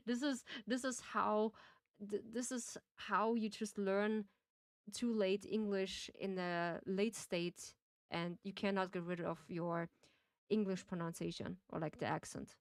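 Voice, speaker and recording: A young woman from Austria talks in loose, rambling English with a heavy Austrian accent. She restarts her sentences and laughs as she chats through a game on stream. Her voice sits at an ordinary middle pitch, and the room sound is dry and close. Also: fairly even intonation, slow pace, dry recording. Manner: chatty, rambling, laughs often, many restarts. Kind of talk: casual chat while playing and reading a game.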